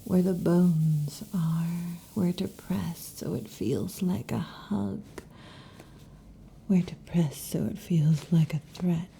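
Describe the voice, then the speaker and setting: Woman voicing a whispering, gravelly lamia girlfriend. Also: fairly low pitch, slow, slight background noise. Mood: teasing whisper. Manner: soft intimate whispering, teasing. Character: female lamia, snake-woman, coiling, possessive, overheated. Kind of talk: ASMR roleplay. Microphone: close sensitive mic.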